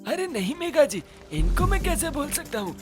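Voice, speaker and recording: Gossipy tattletale wedding priest, cartoon, high swoopy voice, music behind. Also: female voice, big pitch swings, moderate pace. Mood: meddling, aggrieved. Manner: pointed, gossipy. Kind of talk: Hindi cartoon story voice acting.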